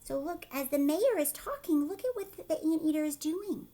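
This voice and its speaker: A woman teacher reading a picture book aloud to children, expressive, with explanatory asides and questions. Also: high voice, moderate pace.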